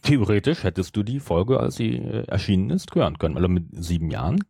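A man in his forties talks casually as a podcast co-host. His husky voice swings freely up and down and sounds dry and close. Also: sits low, sing-song, a little fast, dark tone, no room sound. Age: 40s. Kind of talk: casual chat about audio dramas.

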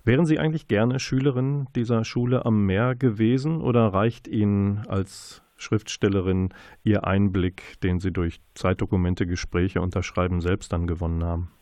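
A man hosting an interview, asking wordy, measured questions in formal address. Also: fairly low pitch, fairly even intonation, dark tone, no echo. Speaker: radio interview host. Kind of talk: conversational interviewing.

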